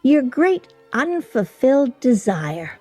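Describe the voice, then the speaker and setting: Lady acting a nosy insistent woman almost fifty, radio play, lively soft-toned voice, dry sound. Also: sits high, slow pace, husky, no room sound, soft background music, full character voice. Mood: probing, insistent. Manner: prodding, questioning, emphatic. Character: probing, insistent woman friend nearing fifty.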